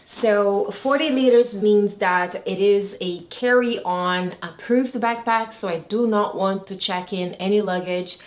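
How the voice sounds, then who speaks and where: A woman's voice: mid-range voice, takes it slowly, hardly any pauses. A woman, walking through her gear in a casual vlog, careful and a little nervous. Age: 40s. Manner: explains carefully, a bit nervous. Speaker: travel vlogger. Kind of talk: casual show-and-tell vlog.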